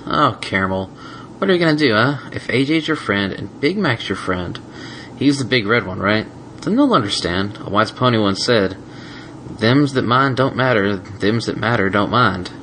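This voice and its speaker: Male reader as a soft-spoken, loyal stallion; gentle and earnest. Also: on the low side, animated, moderate pace, slight background noise, low-quality recording. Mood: tender. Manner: earnest, emotional.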